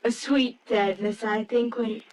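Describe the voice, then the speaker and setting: Vintage radio play bookseller, female actor: shy, earnest, lonely, slightly high voice, gentle and halting, faint recording noise. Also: steady tone, lightly acted, low-quality recording. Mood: wistful, shy. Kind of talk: old-time radio drama acting.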